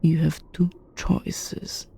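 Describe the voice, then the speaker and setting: A woman voicing an imperious, merciless ruler in a story, with a commanding, measured, oratorical delivery. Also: mellow, a little hiss or hum. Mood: cold, menacing. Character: imperious, merciless ruler pronouncing judgment on a foe.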